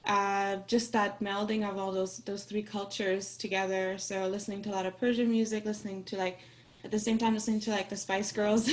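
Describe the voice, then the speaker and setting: A woman from Austria whose first language is Farsi, introducing herself in a relaxed, friendly way on a video call. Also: mid-range voice. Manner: friendly, relaxed, laughs now and then. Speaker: workshop participant. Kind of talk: casual self-introduction.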